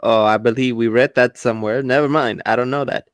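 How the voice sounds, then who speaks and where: A man's voice: medium pitch, steady tone, a little fast, clean tone, no echo. A man chatting casually as a player in a tabletop role-playing game.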